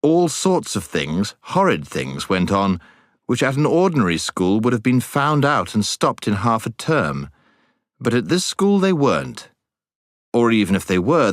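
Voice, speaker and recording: A British man narrating a storybook as a scripted audiobook, in an expressive storytelling manner. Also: on the low side, no room sound, studio quality.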